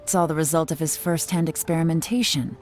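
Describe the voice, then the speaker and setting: A woman's voice: low-pitched, fairly even intonation, quick pace, a little mellow, soft background music, lightly acted. An actress playing a shrewd, composed female boss in a video game, curt and commanding. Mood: probing.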